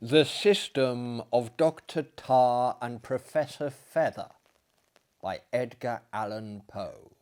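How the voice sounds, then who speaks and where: A man reading a literary story aloud as a theatrical storyteller, deliberate and with frequent hesitations. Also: mid-range voice, darkish tone, smooth. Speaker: storyteller and performer.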